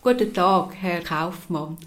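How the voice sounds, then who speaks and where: A woman host giving a formal scripted introduction and then asking a guest questions in a measured way. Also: on the low side, fairly bright tone, rough recording. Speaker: interviewer, host.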